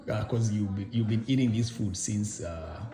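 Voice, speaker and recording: A middle-aged man conducting an informal interview, speaking haltingly and rephrasing his questions as he goes. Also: lowish voice, warm tone. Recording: echoey room with people in background.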